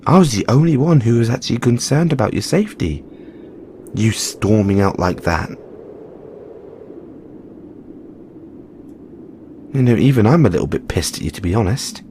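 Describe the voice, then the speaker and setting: Man playing a frank, caring Christmas elf, expressive. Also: medium pitch, brisk, a little hiss or hum, natural voice. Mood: chiding. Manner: earnest, chiding yet reassuring, informal. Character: frank, caring male Christmas elf, weary but supportive. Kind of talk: second-person audio roleplay monologue.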